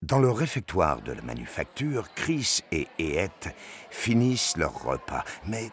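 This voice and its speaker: A man's voice: deep voice, sing-song, measured pace, background noise. A man narrating a sci-fi audio drama in a scripted, dramatic storytelling style.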